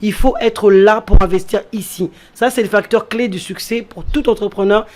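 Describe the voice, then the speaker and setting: A woman's voice: medium pitch, steady tone, moderate pace, darkish tone, slight background noise. A woman who grew up in France, talking as a guest in an interview and explaining things step by step, repeating key phrases for emphasis. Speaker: entrepreneur guest. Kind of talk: interview talk.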